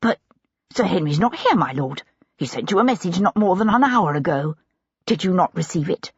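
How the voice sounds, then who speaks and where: Brief: nervous male clerk voiced by a woman reader, deferential and breathy, dry dull recording. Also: fairly low pitch, lively intonation, normal speed, no echo, heavily acted. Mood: anxious. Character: Collins, a startled young male clerk.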